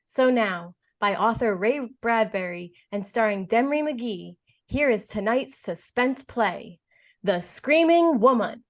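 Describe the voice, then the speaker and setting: Dramatic, slightly high-voiced woman radio announcer. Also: animated, takes it slowly. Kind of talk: scripted old-time radio announcing.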